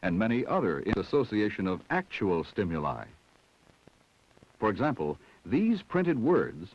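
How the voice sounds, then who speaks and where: A man giving measured, scripted narration for an educational film about the brain. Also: lowish voice, slight background noise. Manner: measured, explanatory. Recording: dull vintage 16mm film soundtrack.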